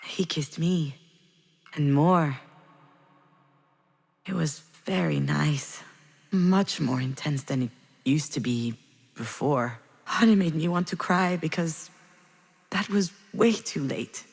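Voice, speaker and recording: A woman's voice: low-pitched, slow pace, vocal fry, long pauses, darkish tone, breathy edge, exaggerated voice. An actress playing a melancholy, regretful woman in a video game, confiding hesitantly in an introspective monologue. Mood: wistful regret. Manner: hesitant, confessional, reflective. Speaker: video game protagonist narrating her memories.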